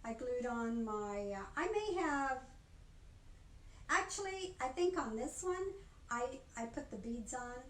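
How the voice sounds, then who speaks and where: Older woman, higher voice, roomy echo. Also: animated, a bit bright. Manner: chatty, halting, often trailing off to rephrase. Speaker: craft instructor. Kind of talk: informal product demonstration. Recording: roomy echo, faint background noise.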